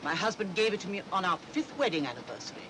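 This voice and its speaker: A woman's voice: big pitch swings, fast talker. A disdainful, middle-aged lady of title, imperious and dry, with swooping intonation. The sound is a noisy 1940s film soundtrack. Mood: proud. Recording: noisy old film soundtrack, train rumble.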